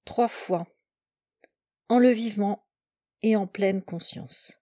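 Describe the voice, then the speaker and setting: A woman guides a relaxation meditation in a soft-edged, mellow voice. She speaks very slowly, setting out calm instructions in short, separate phrases. The sound is dry and close, with no room around it. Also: mid-range voice, dry recording. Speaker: yoga nidra instructor.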